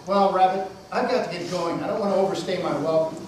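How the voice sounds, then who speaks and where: A man's voice: sits high, fast, natural voice. A man voicing a restless, boisterous tiger out for action in an amateur puppet play. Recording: very echoey, distant, loud room noise.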